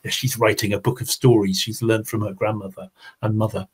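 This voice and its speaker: A man's voice: fairly low pitch, little pitch movement, brisk, slightly rough. A man presenting an online teaching seminar, talking his audience through his slides. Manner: explanatory, talks through slides. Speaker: webinar presenter.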